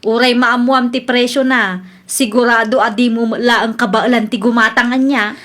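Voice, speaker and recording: Woman acting a high-voiced, boastful Ilocano wife. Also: fairly even intonation, moderate pace, fairly bright tone, lightly acted. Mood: smug, teasing. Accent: Ilocano Filipino. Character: boastful, stubborn, scheming wife, cheerful and bossy. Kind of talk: Ilocano comedy radio drama acting.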